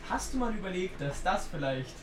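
A woman's voice: on the low side, very animated, brisk. A woman co-hosting a casual chat, answering with dry, teasing banter. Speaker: podcast co-host. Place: sitting on a church pew. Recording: distant mic in an echoey, noisy room.

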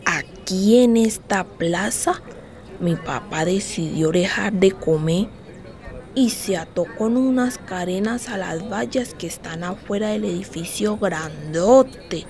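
An actress playing a wistful, dreamy eight-year-old girl in a radio play, telling her story in a childlike, expressive way. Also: medium pitch, slow. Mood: wistful, matter-of-fact. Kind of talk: radio theatre monologue. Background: music.

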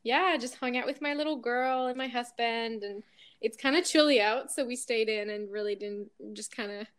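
A woman from Quebec City, French by first language, chats with a fairly high, bright voice as a podcast guest. She sounds upbeat and keeps slipping into giggles between sentences. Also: normal speed, clear, resonant tone. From Quebec City, Canada. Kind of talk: casual chat.

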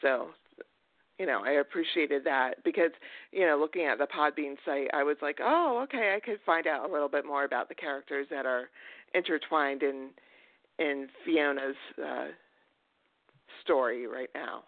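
A man's voice: a little high, normal speed, no room sound. A man hosting a podcast, chatting casually as he interviews a guest. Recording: muffled phone-like line, dry.

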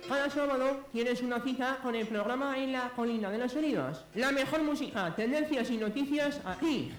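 A man with a very high voice reads a bouncy radio station promo. The sound is roomy, with background music behind him. His read is cheerful and scripted. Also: moderate pace.

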